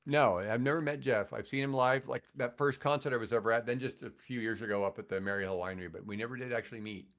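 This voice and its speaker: Podcast guest: older American man, casual rambling storyteller with an average-pitched voice. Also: fast talker, few pauses, fairly bright tone. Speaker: podcast guest, retired radio host. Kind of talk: casual anecdotal podcast chat.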